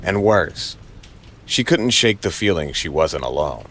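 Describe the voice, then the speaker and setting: A man's voice: low-pitched, sing-song, a little slow, a bit bright, a little hiss or hum. A man narrating a noir crime audio drama in a brooding, dramatic way with short clipped phrases.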